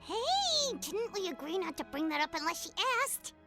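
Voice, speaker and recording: A woman's voice: very high-pitched, big pitch swings, normal speed, bright, forceful tone, full character voice. A woman voicing a tiny chatty female fairy companion who is cheerful and bossy and talks about herself in the third person, in game cutscene dialogue. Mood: chirpy. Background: background music.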